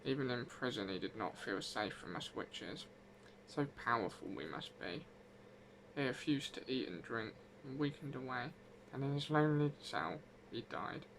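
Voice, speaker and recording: Storybook ghost of an old country wise woman played by a man, gravelly, folksy, wronged, faint noise behind. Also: medium pitch, expressive, normal speed, a bit bright, poor audio. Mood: mournful, reproachful. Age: 80s. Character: ghost of a gentle, wronged old village herbwoman. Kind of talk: first-person folk tale narration.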